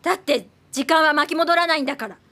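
High-voiced, sharp-tongued scornful daughter. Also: female voice, fast. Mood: accusing. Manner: biting, confrontational, scolding. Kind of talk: radio drama acting.

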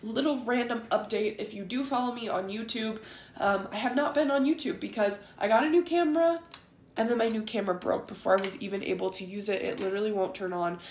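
A woman in her twenties hosting a podcast, rambling candidly in a raspy, groggy voice with plenty of ums. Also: mid-range voice, brisk, a little mellow, echoey room, a little hiss or hum. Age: 20s. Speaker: podcast host. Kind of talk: casual solo ramble.

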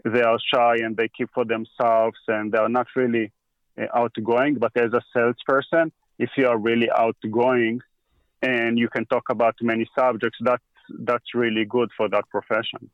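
Man, Israeli accent, hesitant speech, average pitch, dry close sound, a bit muffled. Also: flat delivery, no room sound. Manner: halting, with frequent pauses and restarts. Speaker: guest. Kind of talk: casual conversation.

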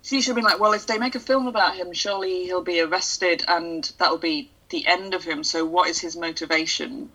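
A woman's voice: on the low side, steady tone, normal speed, few pauses, rough recording. A woman in her thirties musing thoughtfully in a casual chat about a film. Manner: thoughtful, muses out loud.

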